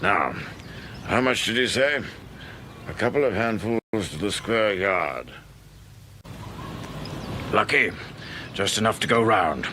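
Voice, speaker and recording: Fretful older British man, very animated. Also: on the low side, takes it slowly, long pauses, slight background noise, heavily acted. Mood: busy, keen. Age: 60s. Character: fretful retired office man obsessed with his prize lawn. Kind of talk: radio drama acting.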